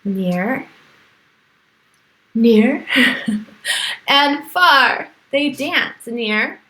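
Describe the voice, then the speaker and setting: A woman with a high, bright voice reads aloud to a class of young children. She takes her time, exclaiming and asking questions as she teaches. Her delivery is animated and openly aimed at small listeners. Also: drawn-out words, low-quality recording. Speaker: teacher reading to a virtual class.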